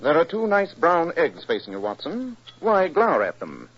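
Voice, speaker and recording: An actor playing a cool, courteous English detective with a British accent, speaking precisely and with understatement in a 1940s radio drama. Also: male voice, on the high side, wildly swooping pitch, normal speed, loud music behind the voice. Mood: calm, dryly amused. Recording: muffled, band-limited old radio recording.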